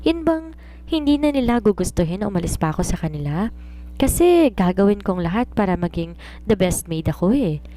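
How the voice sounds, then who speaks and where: A woman's voice: medium pitch, very animated, a little fast, mellow, natural voice. A woman voicing a confident romance writer in an audiobook, giving earnest, instructive advice like a mentor. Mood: boastful, coaxing. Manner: earnest, instructive, self-assured. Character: confident, preachy woman romance writer advising her maid. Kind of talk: audiobook character dialogue.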